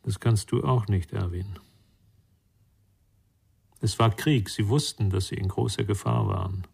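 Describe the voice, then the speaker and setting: An actor playing a grave, gentle confidant in a radio crime drama, speaking in a reflective, measured way with a husky voice. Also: male voice, fairly low pitch, full character voice. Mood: melancholy, remembering. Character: grave, gentle male confidant with childhood exile memories.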